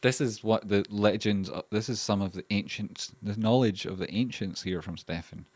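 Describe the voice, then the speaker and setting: A man's voice: lowish voice, moderate pace, darkish tone, no room sound. A man with a Scottish accent hosting a casual livestream, talking in a loose, rambling way with lots of fillers and restarts and free swearing as he reads out donations. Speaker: livestream host.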